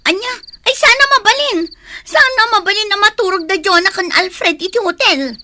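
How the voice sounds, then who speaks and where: A woman's voice: very high voice, moderate pace, bright, forceful tone, a little hiss or hum, full character voice. An actress with an Ilocano accent playing an anxious, jealous young woman in a radio drama. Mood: alarmed, defensive.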